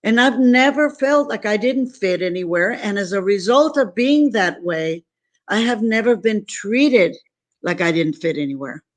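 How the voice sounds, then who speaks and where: A woman's voice: medium pitch, expressive, a little slow. A woman from San Antonio, Texas, whose first language was Spanish, speaking as an interview guest in an emphatic, earnest, repetitive way. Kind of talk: motivational interview talk.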